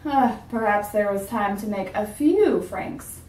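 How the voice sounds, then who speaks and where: A woman's voice: medium pitch, measured pace, a little mellow, lightly acted. A woman reading aloud and voicing a fussy, stingy man who is a dentist, speaking curtly and haughtily. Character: fussy, stingy middle-aged male dentist. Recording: roomy sound from a distance.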